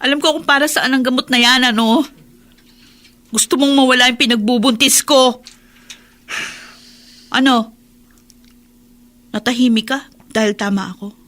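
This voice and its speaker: Radio drama casting: anguished young Filipina wife, piercingly high, tense voice, proud and defiant. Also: female voice, steady tone, normal speed, pauses often, a bit bright. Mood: defiant, hurt. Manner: emotional, defiant.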